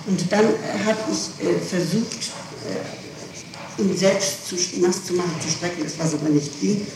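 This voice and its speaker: Halting woman on echoey old tape. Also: lowish voice. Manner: halting, repeats words while explaining. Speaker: person being questioned by police. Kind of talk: interrogation testimony. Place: reverberant room, taped police interrogation. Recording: echoey, noisy old tape, distant mic.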